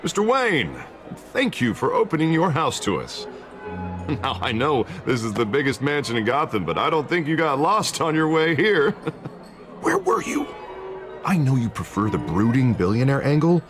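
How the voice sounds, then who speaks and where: A man acting an upbeat American district attorney on the campaign trail in a story game. He is polished and jovial, his pitch moving freely from speechmaking to banter. Music plays behind him. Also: big pitch swings, normal speed, natural voice. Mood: jovial, teasing. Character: glib, upbeat district attorney running for mayor. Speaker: politician campaigning for mayor. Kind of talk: video game drama acting.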